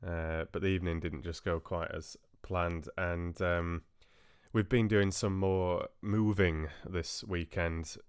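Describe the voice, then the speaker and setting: A man's voice: sits low, steady tone, slow pace, clean tone, no echo, clean studio sound. A man hosting a livestream, chatting casually with viewers in a friendly, hesitant way with trailing asides. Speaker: livestream host. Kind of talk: casual chat with live viewers.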